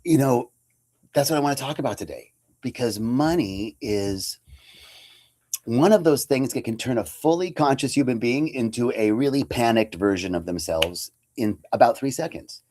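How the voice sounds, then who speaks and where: A man's voice: mid-range voice, lively intonation, normal speed, a little mellow. Casual, conversational male spiritual teacher. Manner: casual and conversational, with lots of fillers. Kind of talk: inspirational livestream talk.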